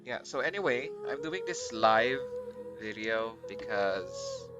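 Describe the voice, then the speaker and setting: A man's voice: lowish voice, big pitch swings, slow pace. A man chatting casually as he livestreams a video game, rambling and often rephrasing himself. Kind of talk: casual live stream chat. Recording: muffled lo-fi mic, faint music behind.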